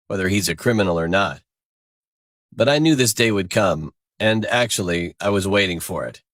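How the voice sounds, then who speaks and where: Guy reading out a first person crime story, lowish voice, dry close sound. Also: a bit bright, dry recording. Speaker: story narrator.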